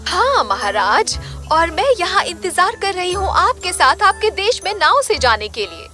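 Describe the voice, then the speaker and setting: A woman's voice: very high-pitched, big pitch swings, a little slow, sharp tone, faint music behind, heavily acted. A woman voicing a sly witch disguised as a sweet young princess, speaking politely and formally in a fairy tale. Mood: sweet, coaxing. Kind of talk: audio fairy tale voice acting.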